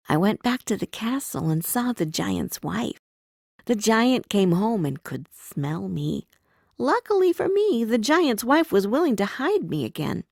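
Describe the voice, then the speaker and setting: A woman narrating a scripted bedtime story for young children in an expressive way, telling it as the main character. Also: on the high side, vocal fry, no room sound.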